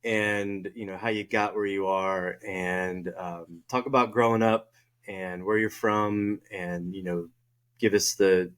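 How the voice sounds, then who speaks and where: A man hosting an interview, asking a long, halting question with many fillers. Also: deep voice, fairly even intonation. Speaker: podcast host.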